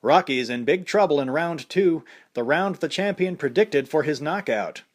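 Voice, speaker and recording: Man reading a fight announcer, excited, pitched up, shouting the action, audiobook. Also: moderate pace. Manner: breathless play-by-play.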